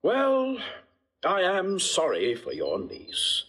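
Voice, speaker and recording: Theatrical, husky, high-voiced scheming prince. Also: male voice, wildly swooping pitch, slow pace, a bit bright, exaggerated voice. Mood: playfully scheming. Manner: theatrical, mock-earnest, courtly. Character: genial scheming prince, staging talk to be overheard. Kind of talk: classical Shakespearean stage acting.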